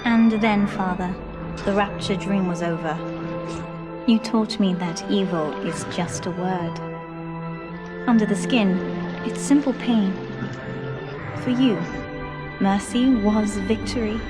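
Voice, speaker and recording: An actress playing an earnest young daughter in a video game, narrating an ending to her father in a solemn, tender, deliberate way. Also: medium pitch, over loud music, close to an everyday voice. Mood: solemn, grateful.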